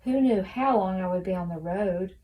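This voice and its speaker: A woman reading a children's novel aloud as expressive storybook narration. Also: medium pitch, normal speed, rough recording.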